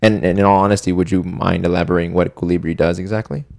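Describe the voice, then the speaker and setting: A man's voice: low voice, steady tone, fast talker, gravelly, a little mellow, dry recording, clean studio sound. An American man interviewing casually, with a slangy, laid-back and affirming way of talking.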